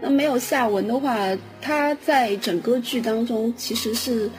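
Higher-voiced woman explaining casually. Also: measured pace, rough recording. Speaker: audio drama scriptwriter. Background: background music.

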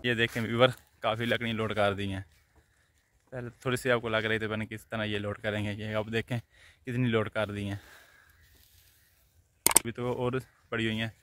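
A man's voice: fairly low pitch, flat delivery, moderate pace, pauses often, sharp tone. A man in his thirties from Punjab, Pakistan, casually chatting to his viewers as he films himself in a farm field, pointing things out and laughing often. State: tired, out of breath after field work. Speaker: village vlogger. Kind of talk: casual chat, talking to viewers. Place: open farm field outdoors. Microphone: phone held at arm's length.

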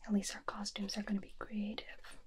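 A woman in her twenties playing a tender, doting superheroine wife in an ASMR roleplay, in a soft, breathy, intimate whisper close to the mic. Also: medium pitch, completely flat delivery. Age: 20s. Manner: slow, gentle, intimate, affectionate. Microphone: close binaural microphone.

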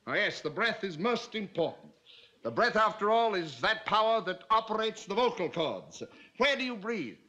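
A pompous vocal coach. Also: male voice, sits high, very animated, normal speed, pushed and bright, muffled recording, exaggerated voice. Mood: instructive. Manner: pompous, instructive. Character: fussy, brisk male vocal coach, middle-aged. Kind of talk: 1960s sitcom acting. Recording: studio sitcom with audience laughter and hiss. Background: studio audience laughter.

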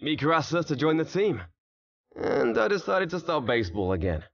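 Man voicing a lively, cocky teenage boy. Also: on the high side, moderate pace, gravelly, dry recording, lo-fi sound, full character voice. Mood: reflective. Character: cocky, good-natured teenage boy, former ace baseball pitcher. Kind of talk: manga dub narration and dialogue.

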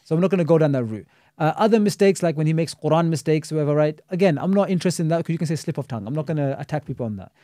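Fast-talking man, mellow voice. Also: fairly high pitch, dry recording, studio quality. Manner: fast, critical, peppered with Arabic religious terms. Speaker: Islamic scholar and teacher. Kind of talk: casual podcast discussion.

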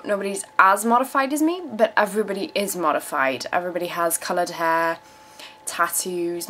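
A woman's voice: medium pitch, fairly bright tone, a little hiss or hum. A woman in her twenties from South Africa who moved to England as a teenager, chatting frankly and casually to camera with some swearing as she answers viewer questions. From South Africa, moved to England in her teens. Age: 20s. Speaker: vlogger. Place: small room at home, indoors. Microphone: camera mic at about arm's length.

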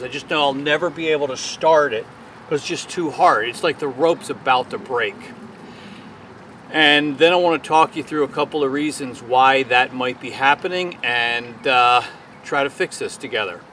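A man's voice: fairly high pitch, fairly bright tone, slight background noise. A man in his forties hosting a hands-on small engine repair tutorial outdoors on a lawn, explaining each step in a plain, folksy way.

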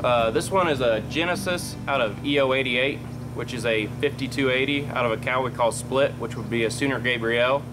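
A man's voice: medium pitch, a little fast. A young man in his late teens from Texas, a junior cattle exhibitor, talking matter-of-factly about show results and prep routines in a barn, on a lapel mic. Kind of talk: interview monologue. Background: background music.

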